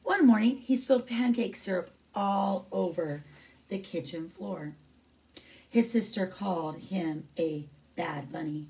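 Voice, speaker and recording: A woman's voice: on the low side, a little slow, gravelly, dark tone, far from the mic, poor audio. A middle-aged woman reading a picture book aloud as a teacher, with brief asides.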